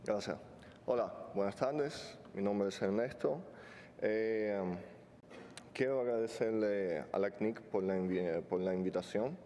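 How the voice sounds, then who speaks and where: A man's voice: unhurried, mellow. A man in his thirties who is not a native speaker, giving a technical conference talk with many fillers and joking asides. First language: not Spanish. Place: large conference hall stage. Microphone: podium mic. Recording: hall reverb, faint rumble.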